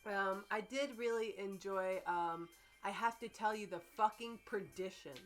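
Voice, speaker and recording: A woman's voice: medium pitch, steady tone, unhurried, soft background music. A woman in a casual, playful back-and-forth, swearing freely and riffing on odd phrases, sometimes reading aloud with mock drama. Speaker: co-reader and commentator on a comedy reading stream.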